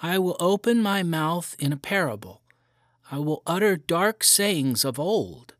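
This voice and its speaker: A man's voice: on the high side, animated, unhurried, dry recording, studio quality, voice pushed up. A man playing an earnest five-year-old boy. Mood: reciting.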